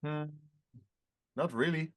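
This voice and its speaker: Young man answering with halting laughs. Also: on the high side, fairly bright tone. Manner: halting, laughs often between phrases. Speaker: rock band singer, guest. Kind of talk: casual interview answers.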